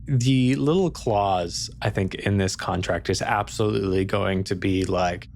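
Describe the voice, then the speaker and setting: Male game master, lowish voice, improvised casual narration, thinking aloud. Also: measured pace, creaky, a little mellow, a little hiss or hum.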